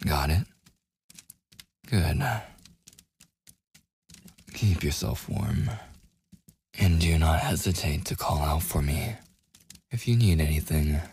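A man playing a gruff, protective werewolf with a secretly tender side in a boyfriend ASMR roleplay, his husky, gravelly voice often dropping toward a whisper as he gives grudging commands. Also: a bass voice, darkish tone, exaggerated voice. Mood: grudgingly reassuring. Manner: slow, grudging, possessive, commanding. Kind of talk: boyfriend ASMR roleplay, intimate scripted acting.

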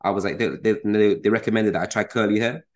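Brief: young British man, rapid chatty podcast host, lowish pitch. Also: steady tone. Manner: quick, chatty, trailing restarts. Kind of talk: casual chat.

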